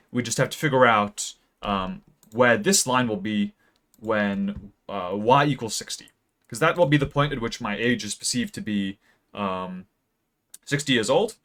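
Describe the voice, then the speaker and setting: Rambling young man doing deadpan mock lecture. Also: medium pitch. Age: young adult. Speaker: video creator. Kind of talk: mock-serious graph explainer. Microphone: close mic that peaks.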